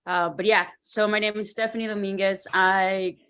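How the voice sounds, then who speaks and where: A woman raised in Mexico speaking as a panel guest about her sports science work, relaxed with frequent fillers. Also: medium pitch, normal speed, smooth. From Mexico, Ciudad Juarez. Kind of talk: casual talk about her career.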